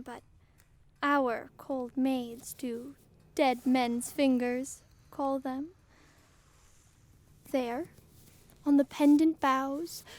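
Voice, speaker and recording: A female actor playing a sorrowful, gentle queen in a Shakespearean audio drama, speaking solemnly and lyrically in halting phrases. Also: high-pitched, dry recording. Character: sorrowful, gentle queen bearing tragic news.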